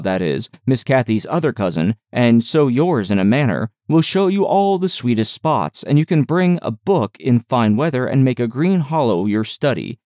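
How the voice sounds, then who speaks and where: Man as kindly woman servant, audiobook dialogue, soothing, coaxing, very varied pitch, dry sound. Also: darkish tone, no room sound, natural voice. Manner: soothing, explanatory.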